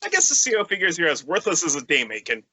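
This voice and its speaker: A man's voice: on the high side, wildly swooping pitch, quick pace, pushed and bright, husky, full character voice. A man playing a flustered, tactless soldier at a script table read, prone to stammering. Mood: dismissive. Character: flustered, sexist male soldier, quick to apologize.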